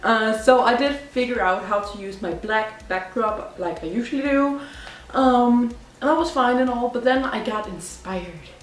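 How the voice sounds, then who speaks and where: A woman's voice: a little high, unhurried, far from the mic. Chatty young woman in an echoey room. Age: 20s. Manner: chatty, rambling, with many fillers and self-corrections. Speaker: vlogger. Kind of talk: casual chat. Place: indoors at home.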